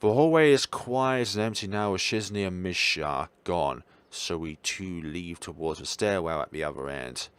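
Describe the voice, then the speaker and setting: A man's voice: low-pitched, moderate pace, few pauses, a bit bright, clear, resonant tone. A man reading a visual novel aloud as a let's player, giving dramatic scripted narration with occasional stumbles and repeated words.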